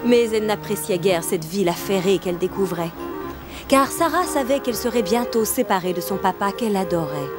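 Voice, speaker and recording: A woman acts as a children's cartoon narrator with a mid-pitched voice. She reads scripted storybook narration in a measured way. Music is clearly heard beneath her. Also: animated, natural voice. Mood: storytelling.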